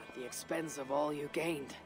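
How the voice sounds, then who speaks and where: Game cast: actor playing a weary Viking wanderer, clipped and dry, low-key delivery. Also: steady tone, normal speed, over music, natural voice. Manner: dry, terse, quiet. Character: weary Viking warrior bidding farewell. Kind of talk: video game cutscene acting.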